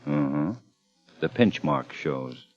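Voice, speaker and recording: A man playing a calm, authoritative police lieutenant in a 1950s radio crime drama, clipped and understated. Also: rumbling low, slow, faint music behind, full character voice. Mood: dry, probing. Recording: muffled old radio broadcast recording.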